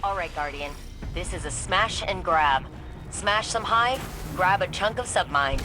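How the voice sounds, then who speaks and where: A woman playing a brisk, tech-savvy mission operator in a video game, casual, wry and snappy. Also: on the low side, over music, natural voice. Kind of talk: scripted video game dialogue.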